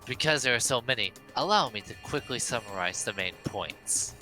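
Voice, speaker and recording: A man's voice: medium pitch, expressive, moderate pace, bright, forceful tone, soft background music. A man voicing a haughty, pompous young heir from a mystery game, declaiming with relish. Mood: lecturing.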